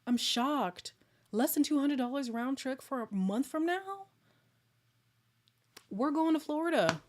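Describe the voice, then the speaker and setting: A woman from Canada hosting a casual live chat with viewers, excitable and laughing often, her voice getting a little hoarse. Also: high voice, unhurried.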